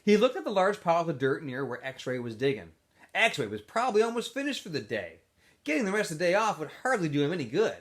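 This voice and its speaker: Animated high-voiced man reading a story aloud. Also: fast. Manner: animated, with asides to listeners. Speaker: teacher reading aloud to students. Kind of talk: expressive storybook read-aloud.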